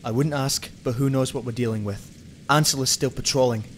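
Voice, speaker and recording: A man's voice: mid-range voice, fairly even intonation, brisk, slight background noise, natural voice. A man playing a grim, determined police detective in an amateur radio play, earnest and hard-boiled in manner.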